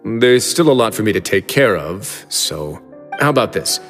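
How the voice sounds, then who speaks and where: An actor playing a composed prison-warden duke with a dry, teasing manner, in a video game dub. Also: male voice, on the low side, very animated, moderate pace, long reverb tail, soft background music. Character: calm male prison warden duke, wry and firm.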